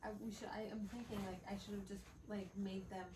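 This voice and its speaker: A woman's voice: mid-range voice, steady tone, quick pace. Woman rambling on a home podcast, lots of likes and restarts, sounds a bit far from the mic, room echo. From Bangladesh and the United States. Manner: rambling, full of fillers and restarts. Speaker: podcast co-host. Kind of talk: casual chat. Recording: roomy, off-mic with faint background noise.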